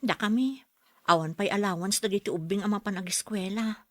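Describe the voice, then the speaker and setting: A woman's voice: mid-range voice, fairly quick, fairly bright tone, dry recording, natural voice. A woman playing a humble, needy widowed single mother in an Ilocano radio drama. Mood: chatty, plaintive.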